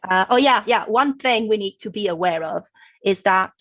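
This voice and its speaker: A woman's voice: mid-range voice, moderate pace, a bit bright, dry recording. An Italian woman speaking English with an Italian accent, a linguistics professor patiently explaining to an interviewer as a guest. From Italy. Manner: patient teacherly explaining, often checks with "okay?".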